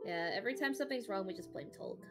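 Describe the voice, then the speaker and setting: A woman joking and teasing in casual, expressive banter during a game stream. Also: medium pitch, racing, soft background music.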